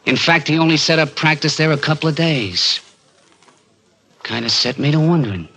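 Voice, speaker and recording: A man's voice: a little high, lively intonation, moderate pace, bright, forceful tone, exaggerated voice. An actor playing a bitter small-time gunman in a 1940s radio crime drama, with an American accent and streetwise, hard-boiled slang. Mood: probing, guarded. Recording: vintage 1940s radio recording, music behind.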